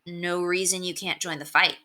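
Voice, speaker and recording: A woman voicing an earnest, hesitant boy in a storybook, with halting, casual phrasing. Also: on the low side, steady tone, pushed and bright. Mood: questioning.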